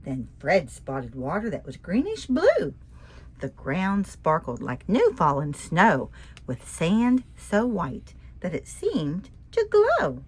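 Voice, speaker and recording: A woman reading a rhyming children's picture book aloud in an expressive, sing-song way, asking the listener questions. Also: on the low side, slow, breathy edge.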